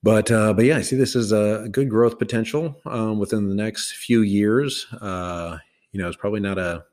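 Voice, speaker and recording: Deep-voiced man rambling about stocks. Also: steady tone, normal speed, a little mellow. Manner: loose and rambling, many fillers and restarts. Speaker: podcast host. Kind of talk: casual stock commentary.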